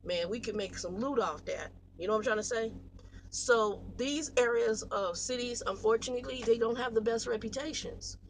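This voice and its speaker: An American woman in her late forties talks casually to her viewers, rambling through stories in a blunt, animated, slangy way. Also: on the high side, moderate pace, slight background noise, rough recording. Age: 40s. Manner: blunt, animated storytelling with slangy, salty language. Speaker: truck driver vlogger.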